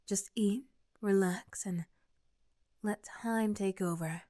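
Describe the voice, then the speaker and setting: A woman's voice: mid-range voice, steady tone, slow pace, warm tone, no echo, studio quality. A woman reading scripted second-person story narration for an ASMR roleplay.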